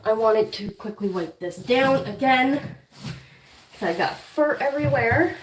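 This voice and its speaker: A woman with a head cold who keeps clearing her throat, chatting casually on a home livestream while caring for her guinea pigs and talking fondly to them. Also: fairly high pitch, lively intonation, measured pace, echoey room, distant mic, a little hiss or hum, rough recording. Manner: chatty, talks to her pets affectionately. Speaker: guinea pig channel host. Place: room at home, weighing pets on a counter.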